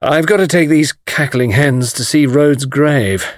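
A man voicing a suave, easygoing gentleman adventurer with dry, urbane politeness in an audiobook story. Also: fairly high pitch, unhurried, no echo, voice pushed up, natural voice. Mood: dryly polite.

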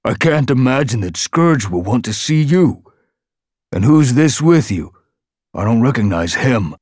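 A man's voice: mid-range voice, expressive, unhurried, darkish tone, dry recording, clean studio sound, voice pushed up. A man doing a hostile, burly alley-cat guard. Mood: scornful. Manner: suspicious, demanding.

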